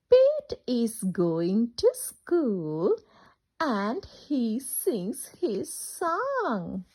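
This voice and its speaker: A woman reading a picture book aloud to children in a singsong, interactive way, asking questions as she goes. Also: on the high side. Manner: animated, singsong, asks questions and pauses for children. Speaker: children's storyteller.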